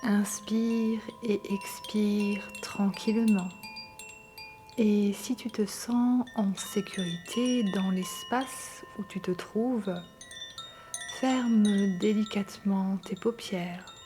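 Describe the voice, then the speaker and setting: A woman guiding a yoga nidra meditation in a calm, soothing and gentle manner. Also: medium pitch, very slow. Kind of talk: guided meditation narration. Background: soft meditation music with chimes.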